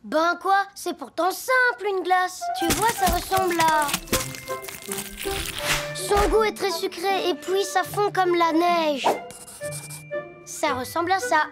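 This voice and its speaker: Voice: extremely high pitch, takes it slowly, pauses often, loud music behind the voice. A performer voicing a confident, playful little boy in a French children's cartoon, eager and matter-of-fact.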